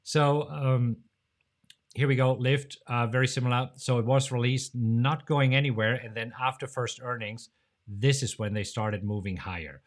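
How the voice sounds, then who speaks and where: A man from Germany with a German accent, hosting a casual live-stream Q&A in a chatty, jokey way, laughing often and jumping up in pitch. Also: fairly even intonation, normal speed. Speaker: host of a live trading show.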